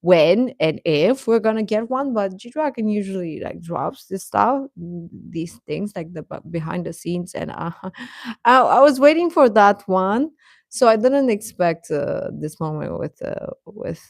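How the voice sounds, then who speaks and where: A woman's voice: mid-range voice, expressive, unhurried, gravelly, no echo, studio quality. A woman hosting a casual livestream, chatting to viewers in a hesitant, rambling, stop-and-start way. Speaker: livestream host.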